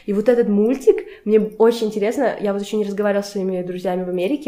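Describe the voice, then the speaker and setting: A woman's voice: mid-range voice, fairly even intonation, rapid-fire, warm tone. A woman who grew up partly in the United States, talking casually and giving opinions as a podcast host. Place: indoors in a home.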